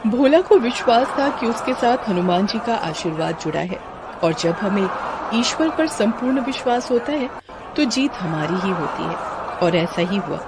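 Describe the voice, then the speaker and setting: Casting: story narrator, mid-pitched, scripted delivery, clear noise behind. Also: lively intonation, moderate pace, crowd noise.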